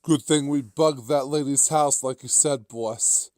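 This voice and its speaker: A man's voice: medium pitch, unhurried, a little mellow, dry recording, clean studio sound, natural voice. A man playing a big henchman goon in an audio drama. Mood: smug, obedient. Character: big male henchman goon serving his boss.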